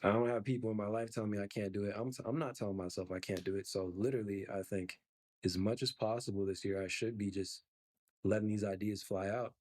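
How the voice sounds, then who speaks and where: A man's voice: lowish voice, steady tone, fast, a little mellow. A young man who is a musician, answering podcast interview questions in an easygoing, reflective way with lots of fillers. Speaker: musician guest.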